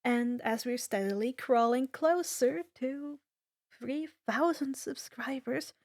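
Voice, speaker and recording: A woman's voice: sits high, slow, darkish tone. A woman reading a story aloud as a fan fiction narrator.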